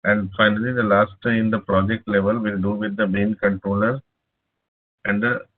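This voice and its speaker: Halting male tech instructor, flat voice. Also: fairly low pitch. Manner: halting, many filler "uh"s. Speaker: programming instructor. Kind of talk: live online class. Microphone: laptop speakerphone, no headset. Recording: tinny phone-like line.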